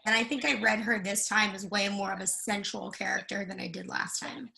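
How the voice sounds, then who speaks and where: A woman's voice: medium pitch, steady tone, fairly quick, vocal fry, pushed and bright, slight background noise, rough recording. A woman who reads parts as an actor, chatting casually in a group discussion. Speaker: actor in a script reading.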